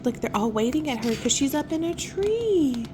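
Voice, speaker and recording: A woman reading a picture book aloud to young children, with gentle, admiring, chatty asides. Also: high voice, sing-song, normal speed, background noise.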